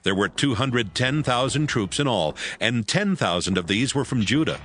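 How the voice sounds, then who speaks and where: A man's voice: medium pitch, animated, normal speed, a bit bright, a little hiss or hum. A man narrating scripture in a scripted, dramatized audio Bible style.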